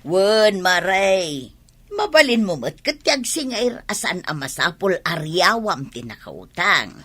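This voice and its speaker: A woman's voice: on the low side, big pitch swings, slow pace, bright, forceful tone, no echo, heavily acted. An actress playing a proud, earnest village mother in an Ilocano radio drama, speaking plainly. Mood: reproachful, proud. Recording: music bed under the voice.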